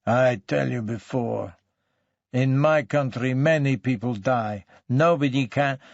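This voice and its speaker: A man's voice: mid-range voice, takes it slowly, creaky, no room sound, muffled recording. A man voicing a surly, evasive male crime suspect with an Eastern European accent, whining in terse broken English. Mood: nervous, defensive.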